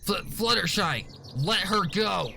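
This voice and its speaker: A man voicing a bold, protective young female pony in a story reading, speaking forcefully and demandingly. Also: high voice, animated, takes it slowly, vocal fry, long reverb tail, soft background music, pitched above the performer's own voice, heavily acted. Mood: fierce demand. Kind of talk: dramatic fan fiction reading.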